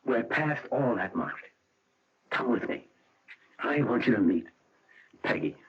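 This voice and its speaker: A man's voice: medium pitch, lively intonation, measured pace, slightly rough. A middle-aged man playing an obsessive, bitter husband in a radio mystery drama, insistent and repeating himself for emphasis. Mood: insistent, accusing. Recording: muffled, dull old radio recording.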